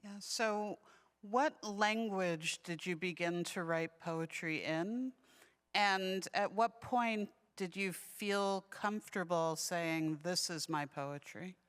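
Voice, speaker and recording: A woman moderator repeats a question for the panel in a deliberate, measured way. Her voice is smooth and clear and a little low. It rings out in a hall with a long echo. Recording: voice in a reverberant hall.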